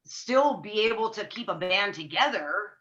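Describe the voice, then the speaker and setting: Bright-voiced woman, dull lo-fi audio. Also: mid-range voice, lively intonation, unhurried. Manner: thoughtful, trails off with fillers. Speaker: guest vocalist. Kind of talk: podcast conversation.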